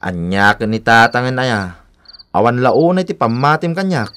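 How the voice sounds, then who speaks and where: A man's voice: mid-range voice, unhurried, fairly bright tone, natural voice. An actor playing a carefree, wayward young man in a radio drama, with a Filipino accent. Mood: sulky, defensive. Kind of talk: Ilocano radio drama acting.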